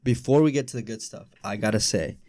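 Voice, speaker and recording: Low, mellow young American man hosting. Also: brisk, no room sound, studio quality. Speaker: podcast host. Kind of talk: casual interview chat.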